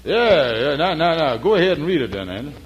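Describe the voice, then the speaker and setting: Husky, high-pitched, earnest radio everyman. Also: big pitch swings, fairly quick. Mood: patient. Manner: folksy, hesitant, ungrammatical. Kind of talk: 1940s American radio comedy acting. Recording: dull old radio recording.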